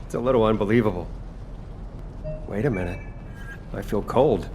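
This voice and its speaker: A man playing an earnest soldier who loves cooking in a video game, expressive and a little hesitant. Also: lowish voice, moderate pace, soft background music, close to an everyday voice. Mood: curious.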